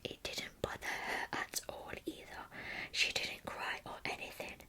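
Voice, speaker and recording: A young adult woman whispers in a breathy, soft-spoken, informal way as a true crime ASMR storyteller, close to the microphone. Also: unhurried.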